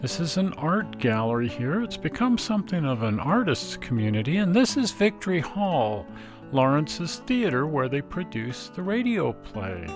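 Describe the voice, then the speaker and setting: A man's voice: on the high side, big pitch swings, normal speed, music in the background. A man hosting a travel show, presenting in a casual, conversational style. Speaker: host.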